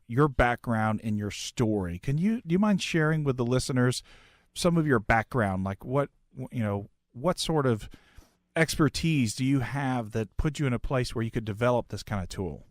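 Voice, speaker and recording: Male radio host, mid-pitched, conversational interviewer, dry studio sound. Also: dry recording.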